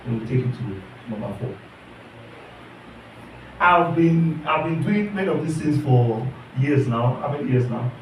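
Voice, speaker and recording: A man's voice: medium pitch, animated, measured pace, pauses often. Guy teaching a class, keeps repeating himself, faint background noise. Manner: earnest, repeats phrases, asks the class questions. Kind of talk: live teaching to class and online viewers. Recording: echoey room, voice from far off.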